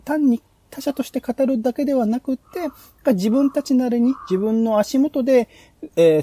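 Casting: male podcast host, very soft dark tone with lively intonation, talking through concepts in a casual meeting. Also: sits high, slightly rough. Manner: explanatory, thinking aloud. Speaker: podcast host presenting program ideas. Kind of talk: casual planning-meeting talk.

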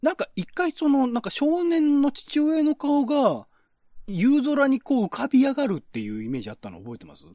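A man's voice: extremely high pitch, sing-song, fairly quick, dark tone, dry recording, studio quality. A man hosting a podcast, explaining art and literature in an enthusiastic, informal, conversational way. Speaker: podcast host. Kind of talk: casual discussion of literature and art.

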